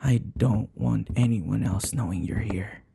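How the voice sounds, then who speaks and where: A man playing a possessive, obsessive dating-game character in an intimate ASMR roleplay, softly coaxing with endearments, sighs and whispers. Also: on the low side, unhurried, gravelly, noisy background. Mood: doting, coaxing.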